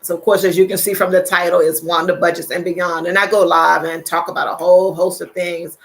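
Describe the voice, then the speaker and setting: A woman's voice: on the low side, little pitch movement, brisk. An older woman hosting a casual livestream, chatting with viewers, laughing often and repeating her phrases. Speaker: livestream host. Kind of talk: casual live chat reading viewer comments.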